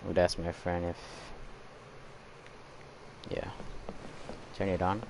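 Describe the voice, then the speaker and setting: Young male streamer, lowish creaky voice, unhurried and rambling casual game commentary. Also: level tone, background noise. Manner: rambling, hesitant, trailing off.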